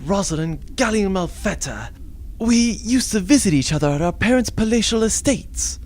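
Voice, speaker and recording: A man's voice: high voice, expressive, moderate pace, darkish tone. A man playing a young noble rebel leader in an audio drama, pompous and easily flustered. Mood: lovestruck, flustered.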